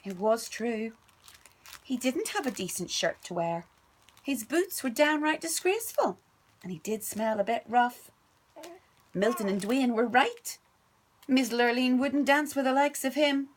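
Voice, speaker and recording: A woman's voice: a little high, expressive, unhurried. A woman reading a picture book aloud to her little son at home, playful and sing-song. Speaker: parent reading to a child.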